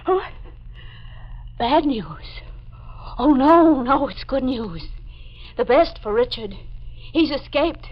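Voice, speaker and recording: A woman's voice: high voice, lively intonation, slow, long pauses, full character voice. An actress playing a fragile, heartbroken woman in a 1940s radio drama, theatrical and wistful. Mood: bitter, wistful. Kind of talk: 1940s radio mystery acting. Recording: dull 1940s radio recording.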